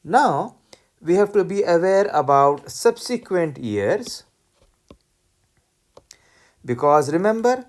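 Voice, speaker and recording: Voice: mid-range voice, very animated. Instructional lecturer explaining step by step. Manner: didactic, deliberate step-by-step explaining. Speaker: accounting lecturer.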